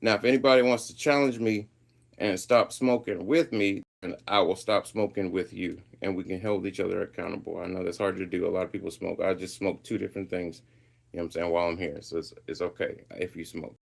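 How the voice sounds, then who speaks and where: Casual streamer, male, Swahili first language, lowish voice, loose slangy delivery while he reads viewer messages. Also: fairly even intonation, a little fast, vocal fry. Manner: laid-back, reads and answers live chat, loose slangy talk. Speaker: livestream host. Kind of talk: casual livestream chat.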